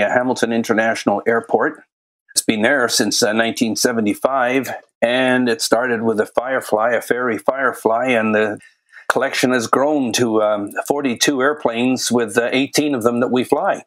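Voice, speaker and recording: A man from Canada, a volunteer chief pilot, answering interview questions in a relaxed, matter-of-fact way. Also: mid-range voice, normal speed.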